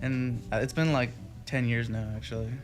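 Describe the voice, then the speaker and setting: A man's voice: mid-range voice, steady tone, moderate pace. A young man from Texas rambles through a casual interview, circling back with fillers and restarts. Faint music plays under his talk in a radio studio. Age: young adult. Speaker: guest musician.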